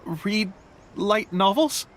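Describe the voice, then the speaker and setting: A woman's voice: mid-range voice, animated, drawn-out words, slight background noise. A woman playing a nervous, deferential publishing clerk in a video game, flustered and stammering but polite. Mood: anxious, apologetic. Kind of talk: scripted video game dialogue.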